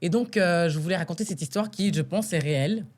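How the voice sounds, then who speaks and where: Lively young woman co-hosting a podcast. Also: lowish voice, fast. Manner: lively, laughs often, animated. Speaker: podcast co-host. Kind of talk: casual chat and reading a story aloud.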